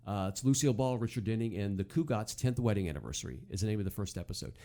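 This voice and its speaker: Low, mellow American man hosting casually. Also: expressive, racing. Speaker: podcast host. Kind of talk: casual chat about old-time radio.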